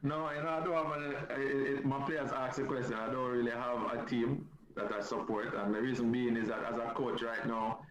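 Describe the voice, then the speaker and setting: A man with a Jamaican accent, a football coach and guest, talking in a measured, hesitant way with many fillers. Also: medium pitch, level tone. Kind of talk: casual chat on a sports show. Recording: muffled echoey line, loud crowd behind.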